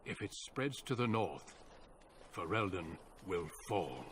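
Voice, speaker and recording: An actor playing a grave, seasoned commander of a warrior order in a fantasy game, speaking in a formal, measured, solemn way. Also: male voice, deep voice, sing-song, fairly bright tone, slight background noise, heavily acted. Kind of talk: fantasy game dialogue and scripted narration. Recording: orchestral game music behind voice. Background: music.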